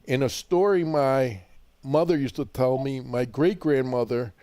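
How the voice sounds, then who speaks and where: A man's voice: medium pitch, a little slow, mellow, dry recording. A man with a Chicago accent hosting a live call-in radio shopping show, chatty and rambling, often repeating words as he starts a thought.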